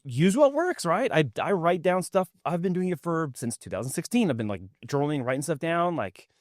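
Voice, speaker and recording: A man's voice: a little high, big pitch swings, a little fast, no echo, studio quality. A man hosting a podcast interview, joking and rambling with frequent restarts. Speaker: podcast host. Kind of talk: conversational interview.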